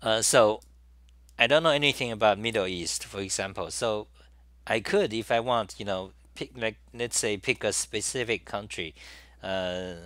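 Rambling man, bright and dry. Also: fairly low pitch, moderate pace, dry recording. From Taiwan. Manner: meandering, many restarts and "you know" fillers. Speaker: talk show host. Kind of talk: rambling solo monologue. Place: small room at a desk.

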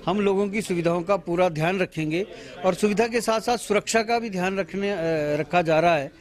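A man in his fifties, a party official, giving a halting press statement to reporters with many pauses and restarts, speaking into a bundle of handheld mics outdoors at a bus station. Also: high voice. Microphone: bundle of handheld news mics.

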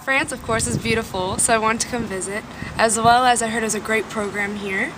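Chatty student, outdoors. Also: female voice, a little high, steady tone, brisk, background noise. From Houston, Texas. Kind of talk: casual interview answers. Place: outdoors by campus buildings.

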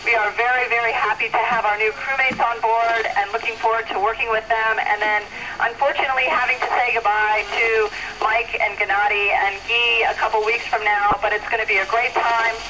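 A woman's voice: a little high, flat delivery, brisk. Woman over a noisy two-way radio. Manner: plain, simple explanations, signing off with radio procedure. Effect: two-way radio transmission. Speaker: astronaut answering questions from space. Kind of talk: amateur radio question-and-answer. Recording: noisy amateur radio link, static. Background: radio noise.

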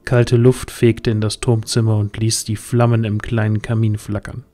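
A man's voice: fairly low pitch, dark tone. A man reading scripted narration as the storyteller of a fantasy audio drama.

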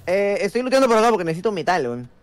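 A man's voice: sits high, very animated, very fast. A man chatting casually with friends while playing an online video game.

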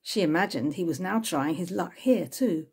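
Female narrator, 60s, low-pitched, slightly husky, expressive storybook reading. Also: normal speed. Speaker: author reading from own novel. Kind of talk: scripted narration, audiobook-style reading. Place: small room at home with bookshelves, indoors.

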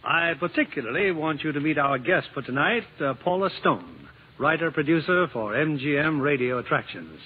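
A man's voice: fairly high pitch, expressive, normal speed, breathy edge. A man hosting a radio drama in a scripted, formal 1950s broadcast style. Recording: muffled, noisy old radio broadcast.

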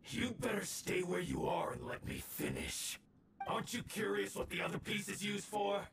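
Gravelly, high-pitched gloating villain. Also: male voice, wildly swooping pitch, moderate pace, fairly bright tone, a little hiss or hum, heavily acted. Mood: smug, gloating. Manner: theatrical, taunting. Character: gloating scheming male gang villain, prone to panic. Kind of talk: video game voice acting.

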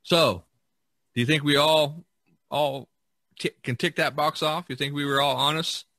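A man with a bright, forward voice and a dry, close sound. He hosts in a casual, jokey style, rambling from one thought to the next. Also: on the high side, fairly even intonation, measured pace, dry recording. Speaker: podcast host. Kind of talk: casual, chatty discussion. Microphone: online video call.

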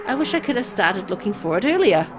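A woman's voice: medium pitch, sing-song, quick pace, lightly acted. A woman voicing a devoted, eager-to-please young boy in a visual novel, earnest and fawning. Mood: eager, adoring. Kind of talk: visual novel dialogue read aloud.

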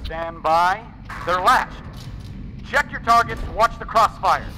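An actor playing a terse, commanding military squad leader in a video game. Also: male voice, sits high, slow pace, bright, forceful tone, long reverb tail, exaggerated voice. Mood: tense, commanding. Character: alert military squad leader readying troops for combat. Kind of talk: scripted video game combat dialogue. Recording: very noisy game battle audio.

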